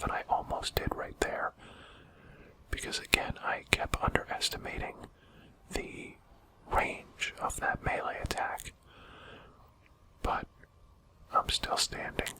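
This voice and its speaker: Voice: very high-pitched, rough recording. Whispering ASMR game commentator. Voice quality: breathy, unvoiced whisper. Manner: soft, unhurried, conversational explaining. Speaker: video game streamer and commentator.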